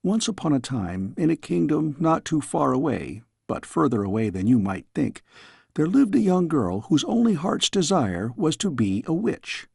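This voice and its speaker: Gravelly male storybook narrator. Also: fairly high pitch, sing-song, moderate pace, darkish tone, dry recording, studio quality. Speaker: audiobook reader.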